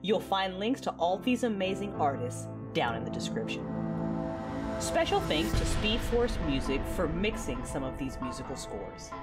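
Podcast outro read: woman announcer, lower register, scripted credits delivery straight to the listener, music behind. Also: sing-song, moderate pace. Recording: music under the voice.

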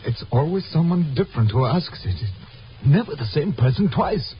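An actor playing a small, haunted stage mentalist in a 1950s radio drama, halting, anguished and fearful. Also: male voice, a little high, very animated. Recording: muffled, slightly noisy old radio recording.

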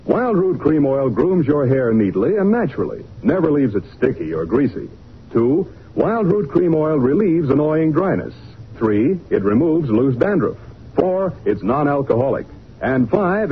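A man's voice: mid-range voice, slightly rough. A man doing scripted announcing in the style of a 1940s radio commercial, with an emphatic salesman's delivery. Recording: tinny, noisy old radio recording.

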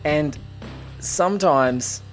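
A man's voice: fairly high pitch, drawn-out words, faint music behind. A man hosting a film podcast in a giddy, effusive, emphatic way. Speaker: podcast host. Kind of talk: enthusiastic film podcast introduction.

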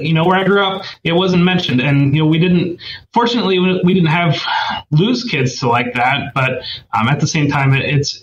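A man from Northwest Ohio, a guest in a casual conversation, talking earnestly and reflectively with halting fillers over a video call. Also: a little high, fairly bright tone. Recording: echoey, muffled remote audio with faint noise.

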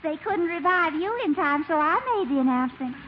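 An actress playing a doting, fussing belle fiancée in 1940s radio comedy. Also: female voice, high-pitched, a little fast, natural voice. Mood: sweetly pleased. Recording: muffled, noisy 1940s radio recording.

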